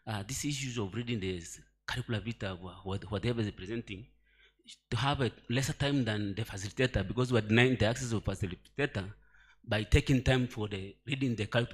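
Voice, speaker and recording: A man speaking from the floor at a conference, putting questions and comments in formal, somewhat halting English. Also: mid-range voice, slightly rough. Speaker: audience member asking questions. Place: conference hall.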